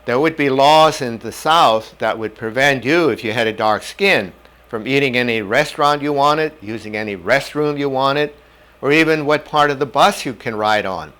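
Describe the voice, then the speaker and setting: An older man from North Dakota whose first language was German, giving a measured lecture on medical ethics in a classroom on a lapel mic. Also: medium pitch, a bit bright. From North Dakota, USA. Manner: measured, plain-spoken teaching with homely examples. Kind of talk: whiteboard lecture. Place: indoor classroom in front of a whiteboard.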